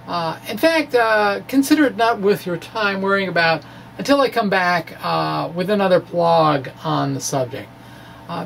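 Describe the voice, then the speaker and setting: A man giving an informal, halting, wry reading of his physics blog, often pausing and amused at his own asides. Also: sits high, creaky. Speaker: amateur physics blogger. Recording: noisy room recording.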